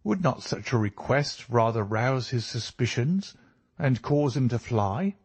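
A man's voice: medium pitch, animated, a little slow, darkish tone, breathy edge, voice pushed up. A man voicing an astonished, doubtful police inspector in a storybook reading, questioning and incredulous.

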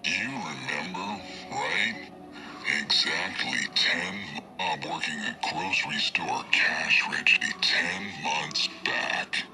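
A man acting as a gruff, swaggering tough guy in a video game. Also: rumbling low, slow, music in the background. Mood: stern.

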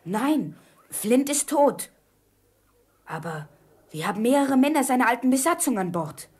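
A woman playing a young ship's boy in a radio play, excited, startled and full of questions. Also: sits high, unhurried, husky, full character voice. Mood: eager.

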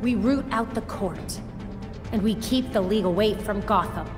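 A woman's voice: medium pitch, normal speed, close to an everyday voice. A woman acting a young, analytical, resolute vigilante in a dramatic video game cutscene. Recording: hall reverb with music behind. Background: music.

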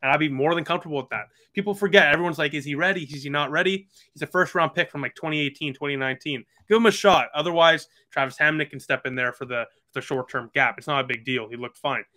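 A man's voice: a little high, quick pace, few pauses, sharp tone. A man of about twenty, chatting earnestly as a podcast guest over a video call with lots of fillers. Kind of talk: casual video call chat.

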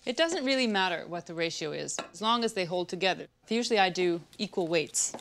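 A woman's voice: lowish voice, fairly quick, slight background noise. A woman in her thirties hosting a cooking show, chatting casually as she cooks in a home kitchen. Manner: relaxed, chatty, talking while she cooks. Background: loud music bed and sizzling pan.

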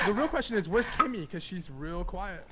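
Joking guy on voice chat, high and lively. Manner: joking, playfully defensive. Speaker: player in group voice chat. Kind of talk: casual gaming banter.

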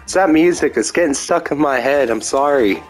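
A man's voice: medium pitch, expressive, moderate pace, faint music behind. A young man giving rambling, self-mocking commentary while playing a card game, now and then breaking into silly sing-song chants. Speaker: gameplay commentator. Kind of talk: casual gameplay commentary.

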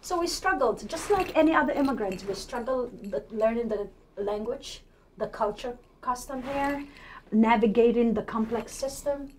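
Somali-accented woman, forties, higher register, candidate in conversational interview, some background noise. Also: rough recording. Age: 40s. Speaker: city council candidate, interview guest. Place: indoor TV studio at a desk.

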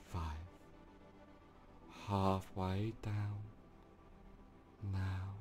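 A man guiding a sleep hypnosis session in slow, soothing, drawn-out phrases. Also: sits low, completely flat delivery, a little mellow, faint music behind.

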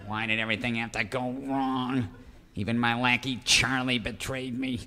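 A sharp, scheming jailed villain. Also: male voice, medium pitch, steady tone, normal speed. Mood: sulking, bitter. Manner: sarcastic, exasperated, oily. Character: scheming, sour jailed villain with a wheedling streak. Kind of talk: parody of old radio serial acting.

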